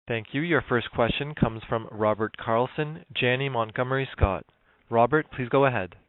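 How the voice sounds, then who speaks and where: Male conference operator, medium pitch, measured scripted delivery, tinny phone audio. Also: dry recording. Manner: formal, measured. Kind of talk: scripted announcements. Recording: dry, thin phone line.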